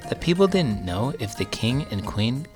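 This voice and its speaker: A man voicing a shy, earnest young girl in a children's storybook, with a gentle manner. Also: mid-range voice, very animated, normal speed, lightly acted. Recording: music playing behind the voice.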